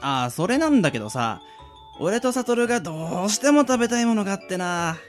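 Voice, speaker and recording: A man's voice: high-pitched, very animated, fairly quick, soft background music. A man acting a breezy, mischievous young prankster, teasing and nonchalant, in an amateur radio drama. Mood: breezy, sly.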